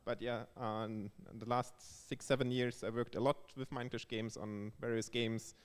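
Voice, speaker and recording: A man with a German accent answers interview questions in a casual way. His voice is medium in pitch, smooth and clear. It rings with the long echo of a large hall. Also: little pitch movement, moderate pace. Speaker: guest, board game developer. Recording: echoey hall with long reverb.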